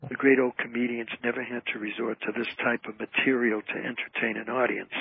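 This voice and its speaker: An older man with a husky voice, telling anecdotes casually as a guest in a radio interview. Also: medium pitch, level tone, a little fast, dry recording. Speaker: guest, author and comedy emcee. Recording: tinny, narrow phone-line sound.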